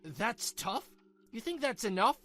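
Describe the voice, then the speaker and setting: A man acting a domineering husband in a manga dub. His voice sits fairly high for a woman's range but low beside one, scornful and quick to blame. He sounds self-pitying and short-tempered, with music behind him. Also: very animated, a bit bright, exaggerated voice. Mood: angry, blaming. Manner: scornful, blaming.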